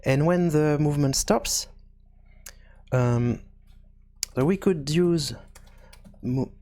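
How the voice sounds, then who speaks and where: A man presents a screencast tutorial at a slow pace. His pitch sits a little high for a man. He talks hesitantly, working things out aloud as he goes. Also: dark tone. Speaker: video game programming tutorial host.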